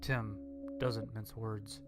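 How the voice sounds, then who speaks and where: A man's voice: lowish voice, flat delivery, slow. A man reading a scripted horror story as its narrator. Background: instrumental music.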